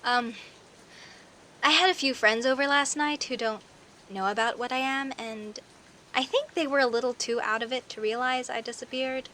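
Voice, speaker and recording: A woman playing a friendly, teasing young werecat neighbor in an audio roleplay, chatting playfully to the listener with hesitant pauses and theatrical emphasis. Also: high voice, steady tone, sharp tone, slight background noise. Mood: amused, friendly. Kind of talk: audio roleplay, casual one-sided conversation with the listener.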